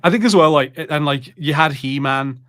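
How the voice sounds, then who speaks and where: A man's voice: fairly high pitch, normal speed, a bit bright, no room sound. Casual jokey man with dry, close sound. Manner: informal, jokey, sweary. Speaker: podcast co-host. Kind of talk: casual film chat on a watch party.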